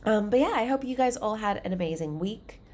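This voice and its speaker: A woman's voice: medium pitch, animated, fairly quick, darkish tone. A woman hosting her own livestream, chatting casually and full of fillers, a bit congested and under the weather. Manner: chatty, filler-heavy, upbeat. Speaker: livestream host.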